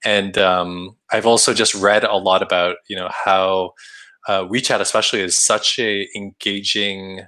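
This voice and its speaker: A man's voice: low-pitched, flat delivery, unhurried, sharp tone. A man hosting an interview, asking questions in a conversational way with many "you know" and "kind of" phrases. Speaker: podcast host, interviewer.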